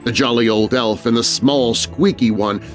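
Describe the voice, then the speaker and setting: A man's voice: mid-range voice, steady tone, moderate pace. A man narrating a spooky rhyming children's story in a theatrical, dramatic way. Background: music.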